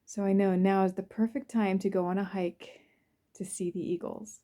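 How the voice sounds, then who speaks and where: A woman leads a guided visualization. Her voice is very soft-edged and mellow, at an average pitch for a woman. She speaks soothingly and without hurry, leaving pauses between short phrases as she narrates in the first person. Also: little pitch movement.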